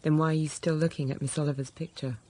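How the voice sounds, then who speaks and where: An actress playing a frank, self-possessed young schoolteacher in a period radio drama, narrating quietly and thoughtfully. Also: female voice, low-pitched, fairly even intonation, quick pace. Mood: frank, composed. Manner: quiet, composed, literate storytelling.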